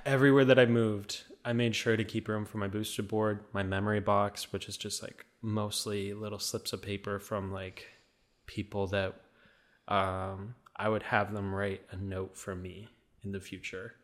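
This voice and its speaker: A young man from the American Midwest with a slight Minnesota accent, a guest talking casually and softly with many fillers. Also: fairly low pitch, level tone, normal speed. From Midwest USA. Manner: soft-spoken, trailing off, many fillers. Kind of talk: casual interview.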